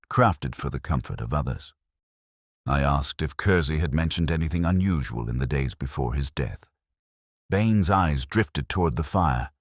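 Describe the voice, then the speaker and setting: Very deep, brooding detective narrator, acted by a man. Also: dry recording, studio quality, full character voice. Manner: measured, wry, brooding. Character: melancholy, watchful male police inspector recounting a case. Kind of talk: scripted first-person literary mystery narration.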